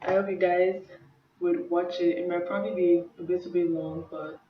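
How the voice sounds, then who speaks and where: A woman's voice: on the low side, flat delivery, normal speed, a little hiss or hum. Young Nigerian woman, roomy distant mic. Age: young adult. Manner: casual, earnest, frequent "like" and "um". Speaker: vlogger. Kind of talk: personal testimony vlog. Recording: roomy, distant, slightly dull sound.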